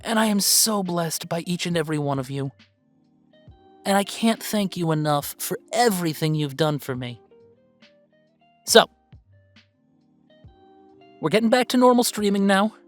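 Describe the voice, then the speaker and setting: A woman voicing a young male werewolf streamer, soft-hearted and excitable, talking to viewers in halting, heartfelt, informal chatter in an audio roleplay. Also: fairly low pitch, soft background music. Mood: playful, giddy, then warm, resolved.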